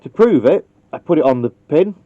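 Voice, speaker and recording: A man's voice: a little high, expressive, moderate pace, slight background noise. A man casually demonstrating how to wire a radio, thinking aloud and joking at his own expense. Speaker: CB radio technician. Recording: dull lo-fi recording.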